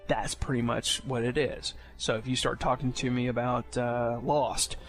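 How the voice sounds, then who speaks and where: A man's voice: mid-range voice, fairly even intonation, normal speed. A man presenting an informal explainer for new players in a conversational, explanatory way. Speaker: podcast segment presenter. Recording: music playing behind the voice. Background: music.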